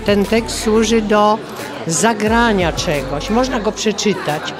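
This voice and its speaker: A woman's voice: mid-range voice, slow pace. A middle-aged woman giving a considered opinion in an interview. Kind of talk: interview reflection. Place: crowded indoor foyer. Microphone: handheld interview microphone. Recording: busy foyer with music behind.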